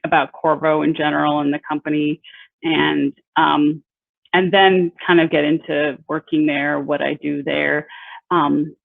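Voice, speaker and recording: A woman in her thirties from Peru, an engineer giving an informal talk about her company, halting with many fillers and restarts. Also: sits low, flat delivery, gravelly, no room sound. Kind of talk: informal presentation. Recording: tinny phone-like audio, dry.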